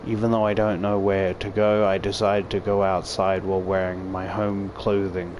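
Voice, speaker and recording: A man's voice: sits low, completely flat delivery, moderate pace, background noise. A man reading a visual novel aloud as a YouTuber, stumbling now and then and adding casual commentary. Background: game music.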